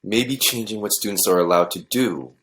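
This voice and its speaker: An actor playing a worried, cautious high school principal in an audio drama, speaking in a measured, conciliatory way. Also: male voice, fairly bright tone, lightly acted, rough recording. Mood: cautious, concerned.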